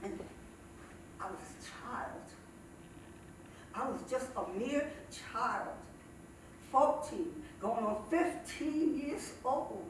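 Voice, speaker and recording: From far off in an echoing space comes a woman playing a sassy, cranky old comedienne on stage. She takes her time over her folksy stand-up tales, stretching words and pausing dramatically, with pitch that swings widely. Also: lively intonation. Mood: wry, indignant. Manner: folksy, drawn-out delivery with dramatic pauses. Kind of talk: theatrical stand-up storytelling monologue. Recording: echoey, noisy stage recording from far off.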